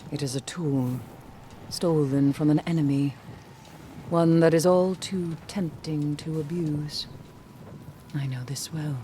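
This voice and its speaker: A woman's voice: deep voice, fairly even intonation, mellow, slight background noise. An actress playing a wise, composed female mentor in a video game, speaking in a solemn, cautionary way. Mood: solemn warning. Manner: measured, solemn. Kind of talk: video game narration, acted.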